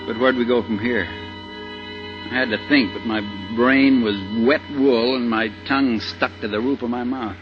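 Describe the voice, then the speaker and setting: A man's voice: mid-range voice, steady tone, measured pace, natural voice. A man playing an anxious middle-aged husband driving at gunpoint, in folksy, tense 1950s radio suspense style. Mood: tense narrating. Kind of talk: 1950s radio suspense acting with first-person narration. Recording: muffled old radio recording with music behind.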